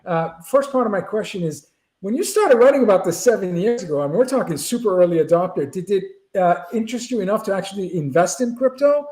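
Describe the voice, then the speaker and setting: A man's voice: sits high, animated, fast, a little mellow. A man co-hosting an interview, asking long, rambling questions full of fillers. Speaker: podcast co-host. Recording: echoey room.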